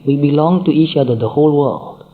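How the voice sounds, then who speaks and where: A man giving a Buddhist dharma talk, speaking in measured phrases with frequent pauses and repetition. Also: on the high side. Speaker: Buddhist teacher. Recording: tinny phone-like sound.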